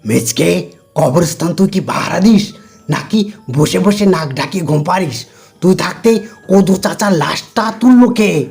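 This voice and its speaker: A woman acting a gruff cartoon tiger boss with a dark, mellow tone. The tiger is suspicious and quick to boss people around. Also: lowish voice, lively intonation, soft background music, full character voice. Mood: angry scolding.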